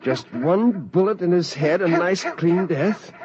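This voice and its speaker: An actor playing a bitter, haunted rebel astronaut with brooding, defiant intensity in 1950s radio drama style. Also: male voice, sits high, expressive, unhurried, a loud crowd. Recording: dull, hissy 1950s radio recording.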